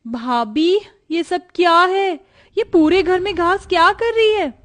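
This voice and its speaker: A woman's voice: very high-pitched, slow pace, a bit bright, full character voice. A woman playing an indignant young sister-in-law in a cartoon family story. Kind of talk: cartoon moral story dialogue.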